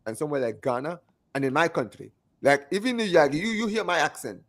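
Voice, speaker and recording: A man's voice: fairly high pitch, brisk. A man with a strong Gambian English accent, hosting a casual call-in chat and often repeating himself. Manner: animated, repeats phrases, often asks "you understand what I'm saying?". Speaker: host of a fan call-in show.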